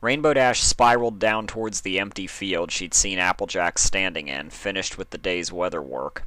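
Deep, crisp male story narrator. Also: a bit bright, dry recording. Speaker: fan fiction narrator.

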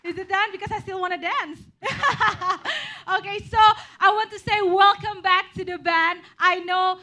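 A young woman hosting a live stage interview on a small venue stage, speaking into a handheld mic and cheering the band on excitedly. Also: very high-pitched, measured pace. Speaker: event host.

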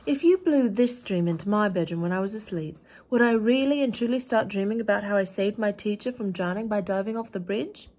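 A woman's voice: mid-range voice, a little fast, few pauses, darkish tone, voice pushed up, lightly acted. A polite, curious little girl asks question after question, as a woman voices her in a storybook reading. She sounds well-mannered and inquisitive.

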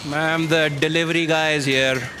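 A man's voice: on the high side, fairly even intonation, moderate pace, over loud music, close to an everyday voice. A man playing a deferential office underling in a staged comic audio drama. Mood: polite, cautioning. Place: theatre stage. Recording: very noisy live stage recording.

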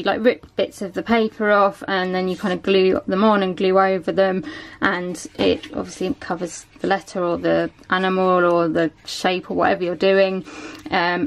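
Chatty woman with a gravelly voice. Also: mid-range voice, a little hiss or hum. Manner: chatty, many ums, shows items one by one. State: losing her voice a bit. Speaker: vlogger. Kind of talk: casual chat to camera. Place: small room at home, indoors.

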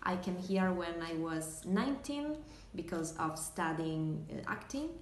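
A young woman from northern Italy with an Italian accent, chatting haltingly to camera as she introduces herself as an actress. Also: fairly low pitch. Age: young adult. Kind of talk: casual self-introduction to camera. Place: small indoor room, seated at table. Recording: echoey room, faint hiss.

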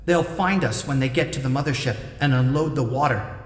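A man's voice: medium pitch, fairly quick, voice pushed up. Man voicing an echoing, earnest brave teenage girl. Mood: grave pleading. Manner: earnest, urgent, pleading. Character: brave, resolute teenage girl facing death. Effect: echoing telepathic-voice reverb. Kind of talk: dramatised audiobook dialogue. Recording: echoing long artificial reverb.

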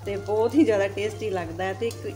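A woman's voice: medium pitch, fairly even intonation, fast, dark tone. An older woman from Punjab with a Punjabi accent, giving a chatty, homely cooking demonstration in her home kitchen and repeating herself for emphasis. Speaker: cooking vlogger.

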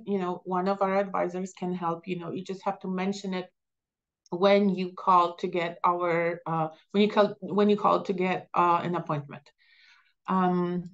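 A middle-aged woman with a Polish accent answering audience questions as a business advisor in a webinar, speaking haltingly with many fillers and restarts. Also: medium pitch, muffled recording. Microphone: video call.

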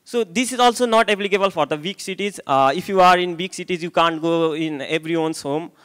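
A man's voice: high voice, brisk, a bit bright, smooth. A young man from Nepal with a Nepali accent, giving a conference talk into a handheld mic in a hotel hall, with many hesitations and restarts. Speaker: conference speaker. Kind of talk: conference presentation. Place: hotel conference room, indoors. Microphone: handheld microphone.

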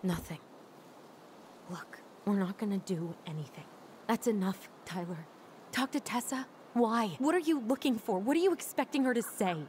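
A woman's voice: mid-range voice, expressive, normal speed, darkish tone, slight background noise, natural voice. An actress playing a wary, practical young woman in a video game, with dry, clipped delivery. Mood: tense, exasperated. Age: young adult.

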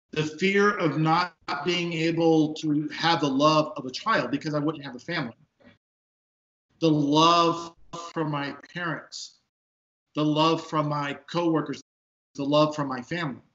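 A man in his fifties from Texas, a police sergeant, speaking as an interview guest in earnest, emphatic talk with a big booming voice, recorded on a video call from an office. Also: fairly bright tone. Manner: emphatic, repeats words when stressing a point. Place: office at a desk. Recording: echoey, dull video call audio.

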